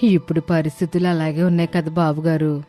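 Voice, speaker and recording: A woman voicing a humble, sensible poor village wife in an animated moral story, respectful in manner. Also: deep voice, fairly quick, faint music behind, close to an everyday voice.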